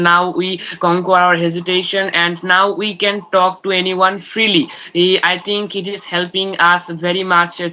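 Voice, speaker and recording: Flat-toned young woman. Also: on the low side, poor audio. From Bangladesh. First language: Bangla. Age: young adult. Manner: hesitant, simple sentences, fillers. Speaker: student, interviewee. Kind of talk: casual conversation practice over video call.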